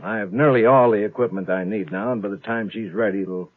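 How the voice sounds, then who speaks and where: A man's voice: fairly low pitch, brisk, lightly acted. An American man playing a worried, brusque but caring military doctor on a space station, in 1950s radio drama style. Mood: relieved, chatty. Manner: exasperated, authoritative. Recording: muffled lo-fi 1950s radio recording.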